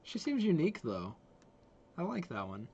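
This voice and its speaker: An excitable video host giving gushing reaction commentary to game character trailers. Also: mid-range voice, normal speed, slightly rough, dull audio. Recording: game music behind the voice. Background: video game trailer music.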